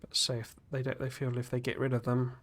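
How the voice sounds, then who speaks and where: A man chats casually while streaming a game, wandering off into long asides full of fillers and restarts. His voice sits at a medium pitch and carries a slight gruffness from a cold. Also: completely flat delivery, a little fast. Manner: rambling, chatty, many fillers and restarts. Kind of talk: casual live-stream chat while playing.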